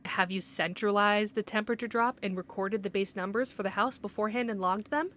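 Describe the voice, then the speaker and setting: A woman playing a stern, by-the-book city investigator in an audio roleplay, speaking in a measured, authoritative, admonishing way. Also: medium pitch, steady tone, fairly bright tone, a little hiss or hum, natural voice. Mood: annoyed, commanding.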